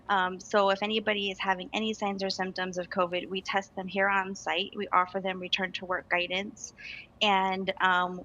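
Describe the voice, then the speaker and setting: A woman's voice: medium pitch, sharp tone, dull audio, a little hiss or hum. A woman working as a registered nurse, answering news interview questions earnestly with frequent ums and restarts.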